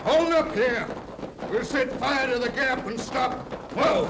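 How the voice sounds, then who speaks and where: An actor playing a rugged outlaw who barks terse orders in 1930s radio Western style, on a very noisy old recording. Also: male voice, very high-pitched, slow, full character voice. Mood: barking orders. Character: rugged outlaw barking orders to his gang. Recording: very noisy 1930s film soundtrack.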